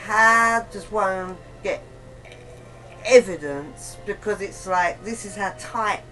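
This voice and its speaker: A woman giving a casual, rambling account in a chat, halting and often repeating herself. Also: mid-range voice, fairly bright tone, faint music behind. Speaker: interview guest. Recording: noisy amateur recording of a chat.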